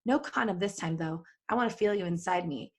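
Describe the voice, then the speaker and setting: A woman's voice: lowish voice, fairly quick, a little mellow, slightly rough. Woman reading out flirty witch lady from a fanfic, bold, teasing, kinda bossy. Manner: teasing, frank.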